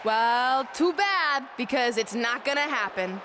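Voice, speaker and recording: A woman's voice: on the high side, animated, measured pace. A woman playing a haughty MMA fighter and Hollywood star in a wrestling game, condescending and self-important, with noise behind her. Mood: contemptuous. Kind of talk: wrestling video game promo acting. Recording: clear background noise.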